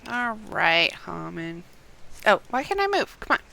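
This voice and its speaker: A woman's voice: mid-range voice, sing-song, a little slow, creaky, sharp tone, low-quality recording. A woman chatting casually on a live game stream, often talking to herself in short fragments as she plays. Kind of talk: casual live gameplay chat.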